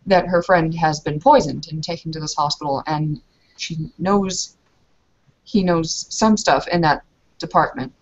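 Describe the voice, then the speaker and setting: A woman's voice: sits low, dull audio. A woman narrating her character's actions in a tabletop roleplaying game, informal and hesitant as she improvises.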